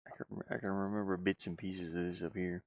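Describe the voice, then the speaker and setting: A man's voice: deep voice, level tone, a little fast. A man live streaming game design work, rambling and musing casually as he hesitantly thinks aloud.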